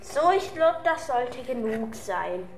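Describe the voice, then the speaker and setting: A woman's voice: high-pitched, a bit bright, heavily acted. A woman voicing a cheerful, boastful male professor in a radio play, chirpy and self-pleased. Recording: echoey room.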